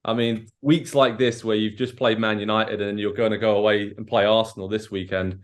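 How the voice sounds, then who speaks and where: A man's voice: on the low side, level tone, brisk. A man interviewing a soccer player, asking long leading questions. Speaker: sports interviewer.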